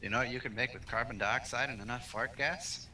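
Very bright, forceful man over noisy chat. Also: mid-range voice, fairly quick. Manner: joking, teasing. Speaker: co-op gaming partner. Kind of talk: casual gameplay chat.

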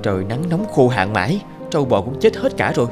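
A man voicing villagers in an animated folk tale, speaking in a theatrical, emphatic way. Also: medium pitch, fast talker, over music, natural voice. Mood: reproachful. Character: villagers, elder and rain god in a drought-struck village.

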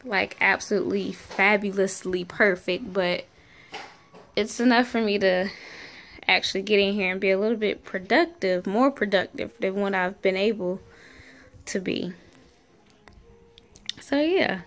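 A woman talks at an unhurried pace. Her pitch sits in the middle range, and she chats in an informal, folksy way, as if updating followers on a home vlog recorded on a phone. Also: fairly bright tone. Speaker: family vlogger. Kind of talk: casual chat to camera. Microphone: phone camera at arm's length.

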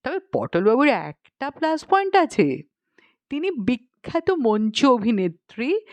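A woman narrating a humorous audiobook story in an expressive, scripted reading style. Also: on the high side, no echo.

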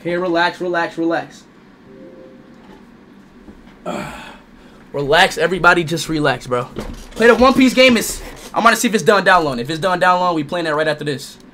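A young man livestreaming a game, excitable and loud, talking straight to his chat and repeating himself. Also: fairly high pitch, brisk, long pauses, a little hiss or hum. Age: young adult. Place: bedroom streaming setup, indoors.

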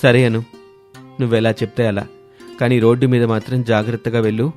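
A man acts out a young husband in a cartoon moral tale. He is caring, agreeable and reassuring, with music playing clearly underneath. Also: medium pitch, level tone, brisk, a little mellow, natural voice. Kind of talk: animated moral story voice acting.

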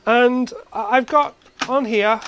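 A man's voice: extremely high pitch, steady tone, slow, a bit bright, slight background noise. A man giving a casual, hands-on demonstration as an electronics hobbyist in his workshop. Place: cluttered indoor electronics workshop.

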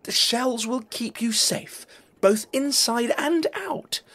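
Man voicing excited little crabs calling out high. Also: heavily acted. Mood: excited, reassuring. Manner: loud, drawn-out, exuberant calling.

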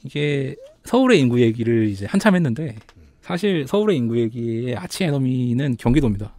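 Mellow, careful male data analyst. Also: mid-range voice, animated. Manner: careful, hedging, measured. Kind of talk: explanatory podcast talk.

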